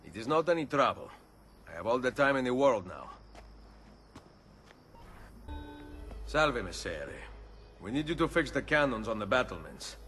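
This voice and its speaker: A man playing a confident, smooth-talking Italian nobleman and assassin in a video game cutscene, with an Italian accent. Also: mid-range voice, very animated, brisk, a bit bright, a little hiss or hum. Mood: calm, confident.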